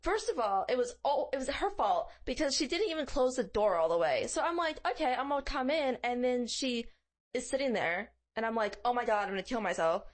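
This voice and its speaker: A woman's voice: a little high, lively intonation, brisk. A young woman co-hosting a podcast in casual comedic chat, candidly telling stories and acting out her asides. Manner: candid, dramatizes with quoted asides. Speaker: podcast co-host.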